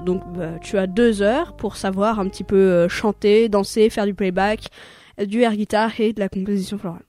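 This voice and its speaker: A woman playing a hurried production coordinator in an amateur radio play, briefing an actor in a rambling way full of fillers. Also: medium pitch, steady tone, mellow, faint music behind, natural voice.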